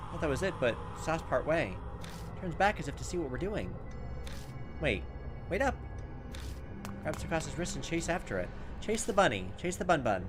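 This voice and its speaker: A man hosting a gaming video, reading on-screen story text aloud dramatically and breaking in with playful asides. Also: mid-range voice. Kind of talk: let's play commentary, reading game text aloud.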